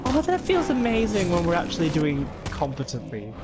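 Excitable young man gaming over music. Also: high voice, moderate pace. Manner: excitable, jokey banter with sudden loud outbursts. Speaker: streamer playing a video game. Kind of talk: casual gaming chat. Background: game music.

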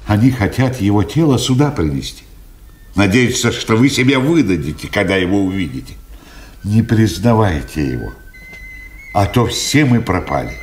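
A fearful, agitated bearer of bad news in a Soviet radio play. His pitch moves widely, with theatrical delivery. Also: sing-song, normal speed. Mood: grim, defeated. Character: agitated, fearful go-between bringing grim war news. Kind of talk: Soviet radio drama acting.

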